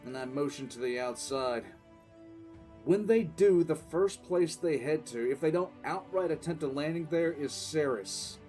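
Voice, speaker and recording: A man playing a weary, cool-headed military commissar, recounting his past in dramatic, deliberate first-person narration over faint music. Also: a little high, darkish tone, close to an everyday voice. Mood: grave, detached. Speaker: narrator and lead voice actor. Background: faint background music.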